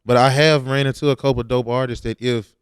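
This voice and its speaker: A man's voice: medium pitch, fairly even intonation, brisk, clean tone, no room sound, studio quality. A young man, talking casually and slangily as a rapper in an interview. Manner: informal, slangy.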